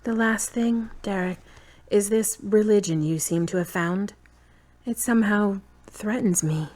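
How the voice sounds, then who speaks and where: A woman's voice: medium pitch, a little slow, darkish tone, natural voice. A woman playing a tired, frank girlfriend who is fond but uneasy, in earnest, questioning audio drama dialogue.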